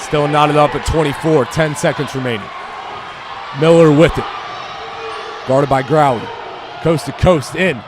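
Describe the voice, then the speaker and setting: A young American man giving live basketball play-by-play in short, clipped calls that rise to excited shouts. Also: a little high, measured pace, long pauses. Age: young adult. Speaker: play-by-play commentator. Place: indoor high school gym during a game. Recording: noisy gym with loud crowd. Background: crowd noise, bouncing basketball.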